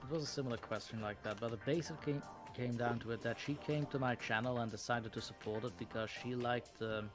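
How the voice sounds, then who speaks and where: Man, mid-pitched voice, livestream host, rambling, self-deprecating, faint music. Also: steady tone, brisk, hardly any pauses. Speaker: horror story narrator and stream host. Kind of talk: casual livestream chat.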